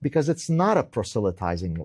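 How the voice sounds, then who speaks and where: Emphatic Lebanese-born man, lowish voice. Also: very animated, fast, darkish tone, no room sound, studio quality. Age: middle-aged. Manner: emphatic, repeats words when starting, tags questions with "right?". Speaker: interview guest, author. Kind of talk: animated interview answers. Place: indoors.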